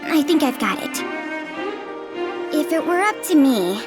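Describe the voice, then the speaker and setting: A woman's voice: high voice, very animated, unhurried, over loud music. Thoughtful, studious young woman. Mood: reflective. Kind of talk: video game voice acting.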